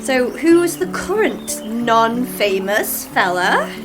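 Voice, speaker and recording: A woman's voice: on the high side, very animated, slow pace. A woman playing a nosy, teasing friend in an audio drama. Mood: teasing, curious. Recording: loud music underneath.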